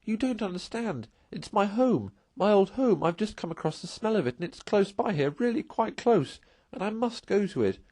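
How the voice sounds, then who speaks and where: A man voicing a small homesick male mole in a storybook, pleading in a high voice. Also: big pitch swings, normal speed, few pauses, a little mellow.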